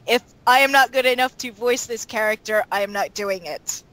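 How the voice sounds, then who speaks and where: A woman's voice: on the high side, moderate pace, fairly bright tone, poor audio. A woman chatting casually in a group interview as a voice actor. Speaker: voice actor and series co-creator. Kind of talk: casual chat.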